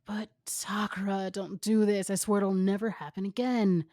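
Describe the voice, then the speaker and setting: A woman's voice: mid-range voice, fairly even intonation, moderate pace, darkish tone, no room sound, clean studio sound, voice pushed up, close to an everyday voice. A nervous teenage football player played by a woman in an acted story reading. He pleads with whiny desperation as a guilty boyfriend, in a raised, urgent voice. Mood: pleading.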